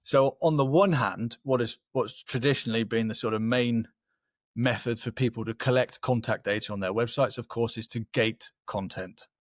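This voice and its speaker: A man's voice: medium pitch, moderate pace, no echo. A man speaks in a mid-range voice, explaining things like a marketing expert on a webinar. His delivery is conversational and he often checks in with the listener. The sound is close and dry, with little room in it.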